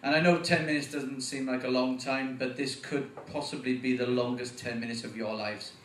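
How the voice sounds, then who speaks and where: A man with a Welsh accent performing a stand-up comedy set, getting animated at punchlines. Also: normal speed, distant mic, slight background noise. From Wales. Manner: animated, voice jumps up in pitch at punchlines. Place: comedy club stage. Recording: echoey room, voice from afar.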